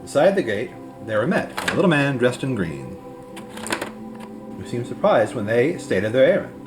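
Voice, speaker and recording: A man reading a children's story aloud as scripted narration, in an expressive way. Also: medium pitch, normal speed. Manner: expressive read-aloud delivery. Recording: music behind the voice.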